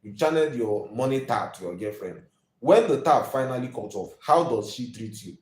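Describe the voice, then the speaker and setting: A young Nigerian man delivering an emphatic, preachy rant straight to his live audience. He hammers each point home by repeating it and speaks at a middling pitch. The room around him adds a clear echo. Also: expressive, normal speed. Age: 20s. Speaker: live video host. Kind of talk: ranting live monologue.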